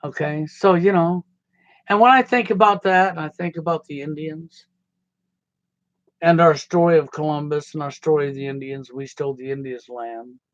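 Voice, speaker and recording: A middle-aged man from the United States talks in a folksy, digressive monologue, his grammar loose and his delivery conversational. His voice sits a little high for a man. The recording is dry and a touch dull, with a lo-fi edge. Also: steady tone, normal speed, no room sound. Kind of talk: rambling personal monologue. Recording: slightly dull, dry lo-fi sound.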